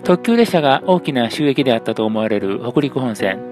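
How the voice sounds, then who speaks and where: A man's voice: mid-range voice, expressive, a little fast. A man giving a scripted narration for a video. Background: music.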